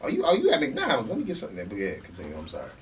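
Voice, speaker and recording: Animated man hosting, distant mic. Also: on the low side, racing, a crowd in the background. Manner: animated, laughing, frequent shout-outs. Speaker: host. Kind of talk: casual talk show chat. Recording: distant mic, faint hiss.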